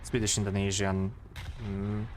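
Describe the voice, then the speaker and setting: A man in his twenties with a Polish accent, chatting casually to stream viewers and thinking aloud, with pauses and fillers. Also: fairly low pitch, monotone, slight background noise.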